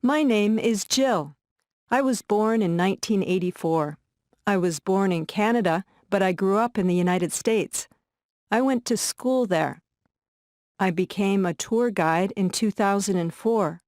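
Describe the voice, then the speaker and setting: A woman reading a scripted introduction in a plain, clear delivery. The recording is dry and close. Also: medium pitch, measured pace, a little mellow, no room sound. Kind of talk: scripted textbook dialogue.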